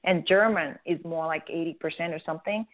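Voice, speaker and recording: A woman's voice: sits low, a little fast, a loud crowd. A woman from Japan with a Japanese accent, a guest explaining her method earnestly in a radio interview. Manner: earnest, animated explaining with restarts. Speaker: guest, face yoga creator. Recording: tinny phone line.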